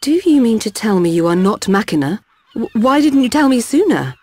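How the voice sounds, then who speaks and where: A woman voicing a calm machine-people doctor in a video game, speaking in formal, measured, precise phrases. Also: on the high side, husky, no room sound. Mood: brisk concern. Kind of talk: video game cutscene acting.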